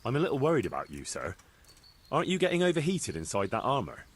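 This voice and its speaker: A man's voice: medium pitch, wildly swooping pitch, fast, husky. A young man playing a down-to-earth young squire in a video game cutscene, with a dry, teasing way of talking. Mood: wry. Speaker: game character.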